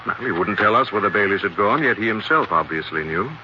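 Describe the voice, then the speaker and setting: A man plays a wry gentleman sleuth in an old radio drama. His low voice is unruffled and dryly understated. The sound is dull and clearly noisy. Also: expressive, fast talker, lightly acted. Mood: guarded, thoughtful. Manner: dry, understated. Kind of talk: 1940s radio acting.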